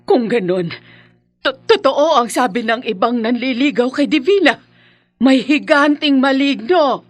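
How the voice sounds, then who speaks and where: A woman playing a worried, protective mother of a grown son in a horror radio drama, anxious and halting, with a Filipino accent. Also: sits high, lively intonation. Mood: fearful pleading.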